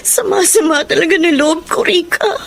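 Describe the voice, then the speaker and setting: A woman's voice: extremely high pitch, normal speed, slight background noise. An actress playing a worn-out young woman who supports her whole family, scolding and tearful by turns. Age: young adult. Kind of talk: TV-style family drama acting.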